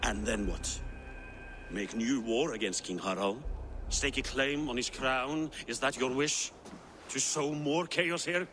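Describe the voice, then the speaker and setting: An actor playing an old, deposed Norse king, a grieving and dignified father who speaks gravely and earnestly in a dramatic video game. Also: mid-range voice, unhurried, fairly bright tone, lightly acted. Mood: probing. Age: older. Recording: music behind the voice.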